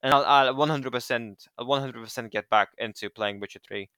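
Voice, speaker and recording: Bright, crisp-voiced man from Romania. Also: mid-range voice, brisk, dry recording. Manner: enthusiastic, repeats words. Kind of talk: casual chat.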